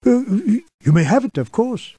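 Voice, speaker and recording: An actor playing a shifty, flustered, husky-voiced villager in a children's game. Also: male voice, sits high, big pitch swings, moderate pace, warm tone, dry recording, full character voice. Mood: flustered, evasive. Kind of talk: scripted children's game voice acting.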